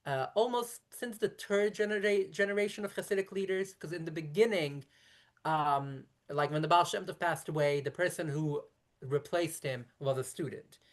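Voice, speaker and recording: A woman in her thirties from Brooklyn whose first language is Yiddish, speaking as a rabbi in a conversational interview and explaining at length in quick, self-correcting runs. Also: deep voice. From Brooklyn, New York. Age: 30s. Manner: talks quickly in long explanatory runs with frequent restarts.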